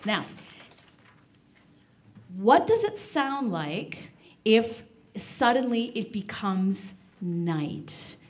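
A woman hosting and narrating a live children's show, giving clear instructions and encouraging listeners to join in. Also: medium pitch, sing-song, very slow, pauses often. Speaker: host and narrator. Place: live performance in a library room before an audience.

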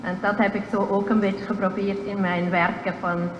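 A woman speaks thoughtfully into stand mics, pausing and restarting now and then. The room is roomy, with a long echo, a dull tone and clear background ambience. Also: mid-range voice, level tone, background noise. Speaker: exhibition initiator. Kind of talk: interview answer. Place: museum hall. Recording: echoey hall with dull sound and ambience.

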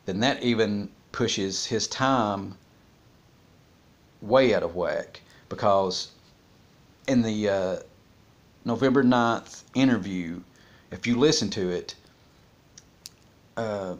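A man in his forties with a fairly low voice talks off the cuff in a room at home. His delivery is casual and halting, as if working through his reasoning aloud into a device's built-in mic. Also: highs cut off. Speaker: vlogger discussing a criminal case. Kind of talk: casual, unscripted monologue. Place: small room at home, indoors.

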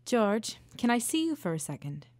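A woman acting in a radio drama as a hard-up, wistful small-town woman, soft and hesitant. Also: on the high side, sing-song, moderate pace. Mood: embarrassed, grateful.

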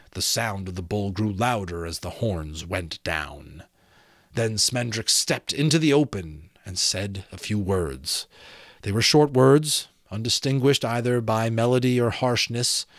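Low-voiced man reading dramatically, dry sound. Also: no room sound. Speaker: amateur audiobook narrator. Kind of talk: expressive storybook reading of a fantasy novel. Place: small home room with sloped ceiling, indoors. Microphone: close desk microphone.

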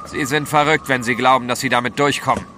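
An actor playing a resolute, blunt gentleman adventurer in an audio drama, grave and urgent in delivery. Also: mid-range voice, steady tone, fast talker, noisy background, close to an everyday voice. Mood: indignant. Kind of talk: German radio play acting. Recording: prominent music behind the dialogue.

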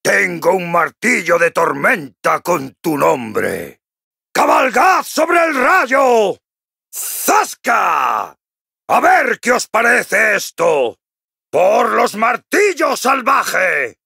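Gravelly, blustering dwarf warrior from Spain. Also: male voice, a little high, very animated, drawn-out words, bright, forceful tone, no echo, studio quality, exaggerated voice. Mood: fierce, triumphant. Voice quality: gruff, raspy. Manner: blustering, hearty, exclamatory. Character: boisterous male dwarf gryphon-riding hammer warrior, cocky and jovial. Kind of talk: video game character voice lines.

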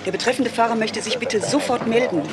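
A woman playing a businesslike taxi dispatcher in a crime radio drama, announcing formally and briskly. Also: fairly high pitch, over a noisy crowd, natural voice.

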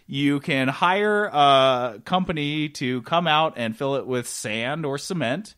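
A man co-hosting a casual comedy podcast, telling stories in a joking, animated way and swearing casually. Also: mid-range voice, slow, clear, resonant tone. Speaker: podcast co-host. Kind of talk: casual comedic group chat.

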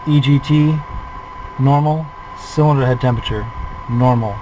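A man's voice: mid-range voice, normal speed, noisy background. A flight instructor in his forties, calling out a preflight checklist in a methodical, clipped way from a small plane cockpit on a headset.

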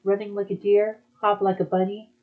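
A woman's voice: medium pitch, level tone, fairly quick. A woman talking in a casual back-and-forth conversation. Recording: dull, muffled lo-fi audio.

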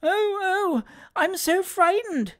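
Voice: very high-pitched, very animated, slow, no echo, clean studio sound, pitched above the performer's own voice, exaggerated voice. A narrator voicing a terrified, sobbing little boy in a storybook reading. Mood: frightened wailing. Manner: wailing, frightened.

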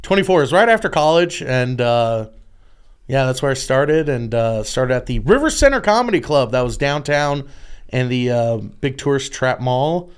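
A young man from Texas, a guest comedian, telling animated stories with quick jokes on a podcast mic. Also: mid-range voice, smooth. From San Antonio, Texas. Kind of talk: casual podcast chat. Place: small studio room. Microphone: studio mic on arm, headphones.

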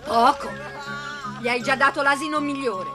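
Woman playing a high-voiced, indignant mother. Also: normal speed, music in the background, natural voice. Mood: reproachful. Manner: sharp, reproachful. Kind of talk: dubbed Italian animated cartoon acting.

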